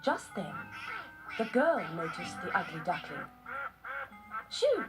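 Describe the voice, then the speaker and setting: A woman narrating a children's story from a script in measured phrases with short pauses. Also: lowish voice, sing-song. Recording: music behind the voice. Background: music.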